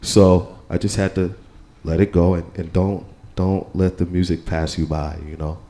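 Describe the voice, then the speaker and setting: A man with a low voice and an American accent, speaking slowly and thinking aloud at a live panel. His tone is warm and mellow, and he keeps asking whether you follow him. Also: little pitch movement. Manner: rambling, keeps saying "you know what I'm saying". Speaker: panel guest, percussionist. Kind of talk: casual panel talk. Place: live radio studio with audience.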